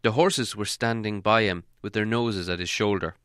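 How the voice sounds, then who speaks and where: A man's voice: lowish voice, steady tone, moderate pace, a bit bright, clean tone, no room sound, studio quality. A man reading a fantasy storybook aloud as scripted audiobook narration. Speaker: audiobook reader.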